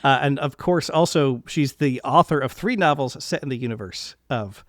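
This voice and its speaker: A man with a medium-pitched voice speaks as a podcast host. He is earnest and effusive as he introduces and chats in an interview. The sound is dry, with very little room in it. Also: normal speed, dry recording.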